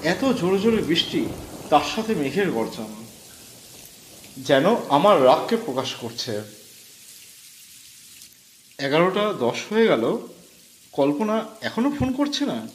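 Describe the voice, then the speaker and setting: Slow, expressive male storyteller with echo. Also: fairly high pitch, slight background noise. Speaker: audio story narrator. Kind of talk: scripted first-person horror story narration. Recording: echoey voice over background music.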